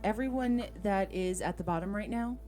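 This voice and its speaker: Female game master, medium pitch, casual rules explanation, faint music underneath. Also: moderate pace, a little mellow.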